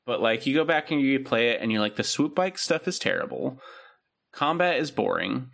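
Man, gravelly voice, mid pitch, rambling casual chat, lots of ums, podcast co-host. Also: expressive, moderate pace, a bit bright. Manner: rambling, many fillers and restarts.

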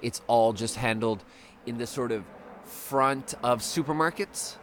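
A man's voice: mid-range voice, measured pace, slight background noise. A man in his thirties from Sweden, hosting a podcast in casual chat. He laughs often while telling rambling anecdotes. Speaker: podcast host.

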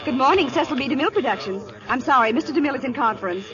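An actress playing a brisk studio switchboard operator who rattles off her lines in a 1930s radio play. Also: high-pitched, expressive. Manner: clipped, rattling off phrases. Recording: muffled 1930s radio with music.

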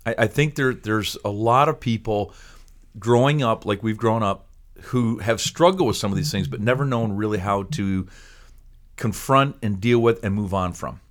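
A man's voice: fairly low pitch, moderate pace. An American man hosting a casual ministry talk show, enthusiastic and jokey, often repeating his phrases. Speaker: podcast host.

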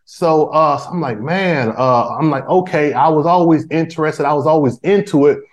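A man's voice: on the high side, moderate pace. A man in his forties, a podcast guest, chatting casually with lots of restarts, fillers and slang. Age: 40s. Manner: rambling, many restarts and fillers; uses street slang. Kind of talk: casual chat.

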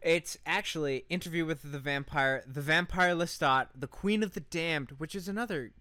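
A man's voice: fairly high pitch, moderate pace, bright, forceful tone, no echo. A man co-hosting a podcast, telling stories in a casual, irreverent, joking way with some swearing. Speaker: podcast co-host. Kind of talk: casual comedic storytelling.

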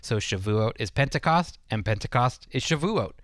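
A man's voice: medium pitch, animated, moderate pace, a bit bright, no room sound, clean studio sound. A man hosting a devotional radio program, teaching scripture earnestly with emphatic phrasing and rhetorical questions. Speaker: radio Bible teacher and host.

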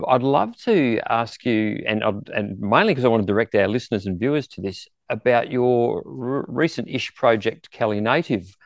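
A man co-hosting an interview podcast, asking questions in a conversational, halting way. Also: medium pitch, no echo, clean studio sound.

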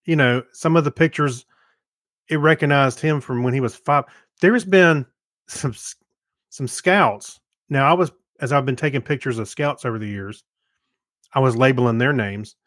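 A man's voice: medium pitch, moderate pace, a bit bright, no room sound, clean studio sound. A middle-aged man co-hosting a podcast, chatting casually and telling personal anecdotes. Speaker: podcast co-host.

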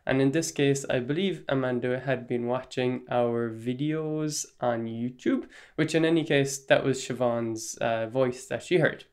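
An Irish man hosting a solo podcast, explaining his views in a measured, even-tempered way. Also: medium pitch. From Ireland. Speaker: podcast host.

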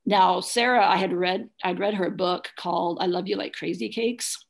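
Middle-aged woman with a creaky, lowish voice. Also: fairly even intonation, moderate pace. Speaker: interview guest, adoptive single mother. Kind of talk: conversational interview, recounting personal experience.

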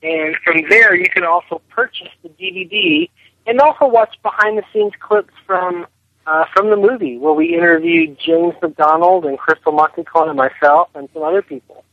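A man's voice: high voice, animated, moderate pace, gravelly, dry recording. A man being interviewed as a film director, answering conversationally over a telephone line. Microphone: phone.

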